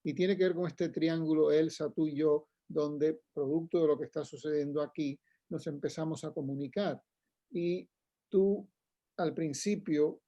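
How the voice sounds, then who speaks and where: Older man, gravelly, mellow tone, rambling friendly chat, video call. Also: fairly high pitch, no room sound, dull audio. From Cuba. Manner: chatty, digressive, frequent laughs and tags like "¿no?". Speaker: poet and retired professor, panelist. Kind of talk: casual spoken tribute at a book launch.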